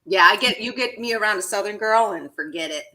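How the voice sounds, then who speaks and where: A woman's voice: medium pitch, fairly quick, crowd noise, rough recording. A woman with a Chicago accent, a chef and coach, chatting enthusiastically as a guest on a radio show. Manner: enthusiastic, animated. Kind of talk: casual interview chat.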